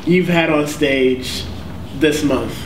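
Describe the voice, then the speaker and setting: A man with a high-pitched voice hosting a casual group chat, drawling jokey questions. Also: takes it slowly, background noise. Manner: drawling, joking. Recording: distant mic, echoey room with chatter.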